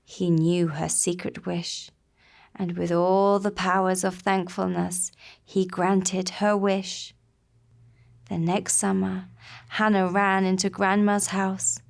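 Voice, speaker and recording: A woman narrating a children's puppet-show story in a gentle, unhurried storybook manner. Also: fairly low pitch, level tone, creaky.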